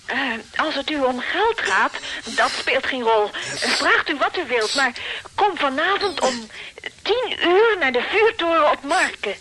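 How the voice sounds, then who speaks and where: High-voiced secretive woman in trouble. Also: very animated, a little slow, low-quality recording. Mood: anxious, secretive. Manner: hesitant, guarded, insistent. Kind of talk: radio thriller acting.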